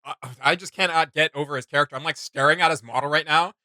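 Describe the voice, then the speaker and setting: A man's voice: fairly high pitch, fairly even intonation, quick pace, bright, forceful tone, no room sound, clean studio sound. A man hosting a reaction video, chatting casually and enthusiastically. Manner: enthusiastic, exclaiming. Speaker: reaction channel host. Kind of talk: casual, excited reaction chat.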